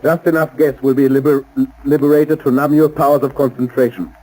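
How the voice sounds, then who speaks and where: An actor playing a suave, cold-blooded saboteur doctor in a 1930s radio drama, speaking formally and deliberately with polite menace. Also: male voice, mid-range voice, steady tone, mellow, lightly acted, low-quality recording. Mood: gloating menace. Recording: old 1930s radio recording.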